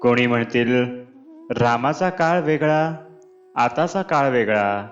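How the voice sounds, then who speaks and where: A man reads a scripted spiritual discourse aloud in measured, deliberate phrases. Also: mid-range voice, lo-fi sound. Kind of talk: scripted devotional discourse reading. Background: clear background music.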